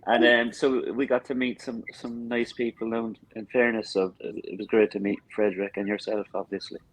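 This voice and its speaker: Low-voiced Cork man, halting chat. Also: flat delivery, rough recording. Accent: Irish, from Cork. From Cork, Ireland. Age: 50s. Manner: hesitant, repeats and restarts words mid-sentence. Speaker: drummer, podcast guest. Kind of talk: casual interview chat.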